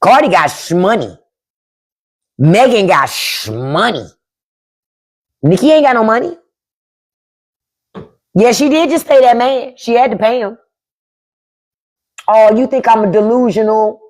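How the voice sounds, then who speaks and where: Blunt, profane woman with a lively voice. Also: on the high side, a little slow, pauses often. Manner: blunt, profane, emphatic, reads and answers chat. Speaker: podcast host on a live stream. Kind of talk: casual livestream commentary.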